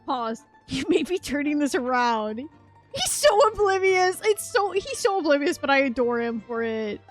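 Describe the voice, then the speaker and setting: High-voiced expressive female streamer. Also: moderate pace, faint music behind. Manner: slangy, expressive, giggly. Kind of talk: casual live game reaction commentary.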